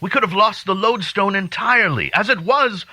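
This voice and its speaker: Guy acting stern principled secret society boss in an audio drama, very forceful, husky, dry close sound. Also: high-pitched, lively intonation, brisk, no echo, heavily acted. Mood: reproving. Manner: formal, reproving.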